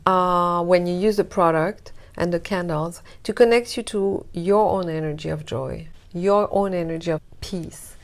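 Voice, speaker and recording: A woman from Morocco, a guest speaking slowly and softly in an interview, drawing out her phrases with breathy trailing words. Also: fairly low pitch. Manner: slow and deliberate, drawn-out phrases with soft breathy trailing words. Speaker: guest, wellness brand founder. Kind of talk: slow, soft-spoken interview talk.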